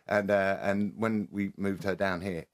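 A man's voice: low voice, little pitch movement, a little slow, noisy background. A man hosting a podcast, teasing his guests in joking casual chat. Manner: teasing, wisecracking. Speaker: podcast host.

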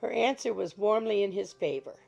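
A woman narrates a literary audiobook from a script. Her voice is mellow and soft-edged, with heavy creak running through it. Quiet music plays underneath. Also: medium pitch, expressive, fairly quick. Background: faint music.

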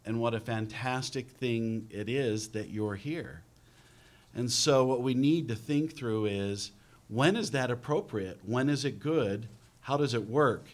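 A man's voice: mid-range voice, measured pace. A middle-aged man speaking as a panelist in a university lecture room, earnest and thinking aloud, into a table microphone. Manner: earnest, repeats words while thinking aloud. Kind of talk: panel discussion. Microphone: table mic on stand.

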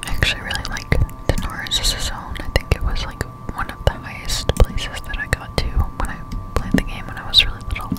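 A woman's voice: a little fast, hardly any pauses. A young woman whispering breathily up close to the mic, giving rambling ASMR gameplay commentary as she muses to herself. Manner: rambling, musing aloud to herself. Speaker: gameplay streamer. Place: indoors at a home computer. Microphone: close-up desktop condenser mic.

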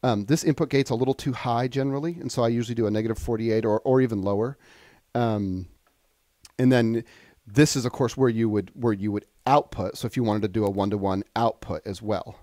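A man demonstrating software in a casual, conversational tutorial style, explaining things informally step by step. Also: medium pitch, fairly even intonation, fairly quick, no echo, studio quality.

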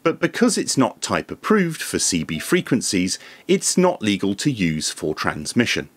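A man presents a scripted explainer to camera in a measured, formal, methodical way. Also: lowish voice, wildly swooping pitch, husky. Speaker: video presenter and radio reviewer. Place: small indoor home studio room.

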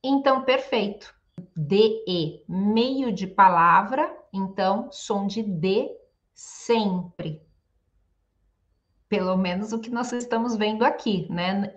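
A woman's voice: medium pitch, dull audio. A woman in her forties from São Paulo teaching pronunciation in a home video lesson, patiently repeating each sound and checking in with tag questions. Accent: São Paulo Brazilian Portuguese. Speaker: Portuguese teacher. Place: home room, indoors.

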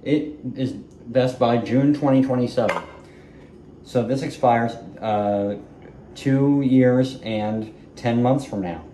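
A man's voice: medium pitch, takes it slowly, dark tone. A man casually narrating while he cooks in a small home kitchen, rambling and self-deprecating, recorded on a camera set up across the room with frying sizzling behind him. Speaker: home cooking vlogger. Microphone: camera mic set up across the kitchen. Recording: echoey kitchen, faint frying noise.

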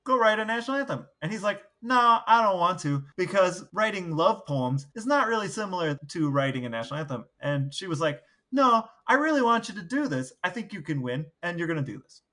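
A man's voice: high voice, sing-song, a little fast, hardly any pauses, bright, forceful tone. A man co-hosting a podcast, telling anecdotes in a casual, wry, chatty way. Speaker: podcast co-host. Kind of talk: casual storytelling chat.

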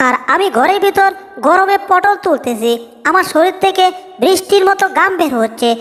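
Squeaky, bright little cartoon mouse, voiced by a woman. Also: lively intonation, normal speed. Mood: overheated complaining. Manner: squeaky, pert, complaining. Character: small cheeky male cartoon mouse, feisty and teasing. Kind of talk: Bengali comic cartoon dub. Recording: long reverb over background music.

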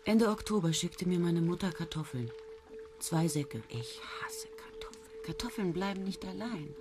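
Radio play narrator, woman, deep voice, dry and wry monologue over music. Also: very animated, moderate pace. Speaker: first-person narrator.

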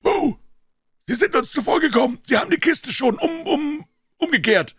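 A man voicing a rough, alarmed pirate henchman in an audiobook, calling out loudly as if across a distance. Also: very high-pitched, animated, normal speed, voice pushed up, full character voice. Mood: alarmed, shouting. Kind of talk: dramatic audiobook character reading.

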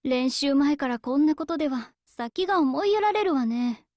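A woman's voice: sits high, lively intonation, normal speed, darkish tone, slight background noise. A woman voicing a serious, strict and polite teenage schoolgirl in an anime game, formal and reserved. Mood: disapproving. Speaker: game character voice. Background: background music.

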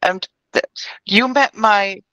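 Slow, clear-voiced woman, halting phrasing. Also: mid-range voice, pushed and bright, no echo, dull audio. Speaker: tabletop roleplay player. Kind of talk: casual in-character introduction. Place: indoors on a video call.

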